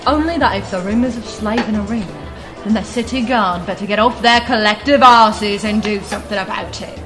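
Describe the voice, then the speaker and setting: A woman's voice: medium pitch, fairly quick, natural voice. A woman playing a shrewd, gossipy tavern keeper in a fantasy audio drama, talking in a colloquial, conspiratorial way. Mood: grave, confiding. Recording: music and tavern crowd behind the voice. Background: music, tavern crowd.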